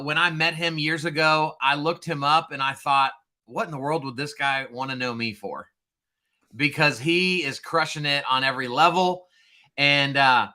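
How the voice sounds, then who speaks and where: A man hosting an interview, praising and introducing his guest before asking questions, on a boom mic. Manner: warmly admiring interviewer. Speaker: host. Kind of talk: casual interview. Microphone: boom microphone.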